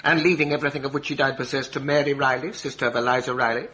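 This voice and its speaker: An actor with an English accent playing a relentless defence barrister in a radio drama, with clipped, pressing, formal questioning. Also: male voice, mid-range voice, fairly even intonation, racing, sharp tone, a little hiss or hum, lightly acted. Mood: forceful, skeptical. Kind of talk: British radio drama acting.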